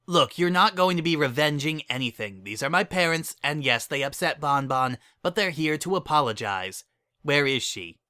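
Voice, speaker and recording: A man's voice: a little high, lively intonation, moderate pace, sharp tone, no echo, pitched above the performer's own voice. A man voicing a patient, affectionate female cartoon unicorn who explains things reassuringly. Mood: exasperated, firm.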